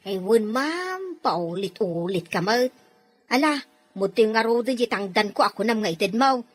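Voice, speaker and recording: A woman's voice: fairly high pitch, lively intonation, moderate pace, faint music behind. A Filipino woman playing an outspoken, bold housemaid in an audio drama, with pointed, emphatic delivery. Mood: defiant.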